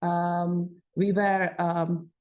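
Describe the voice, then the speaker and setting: Low-voiced woman moderator. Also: level tone, a little mellow, dull audio. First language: Czech. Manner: measured, steering the discussion. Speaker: panel moderator. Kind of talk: panel discussion.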